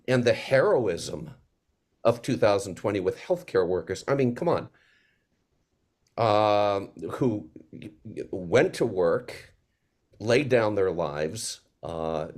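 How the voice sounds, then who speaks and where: A man in a reflective panel conversation, speaking as a theater maker in a halting, searching, earnest way with pauses. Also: lowish voice, darkish tone.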